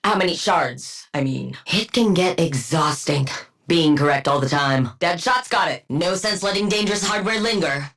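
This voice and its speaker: An actress playing a brilliant, arrogant, sardonic supervillain scientist in a video game, precise and condescending, with dry wit. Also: female voice, lowish voice, lively intonation, moderate pace, fairly bright tone. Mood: wry, brisk. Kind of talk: video game character voice lines.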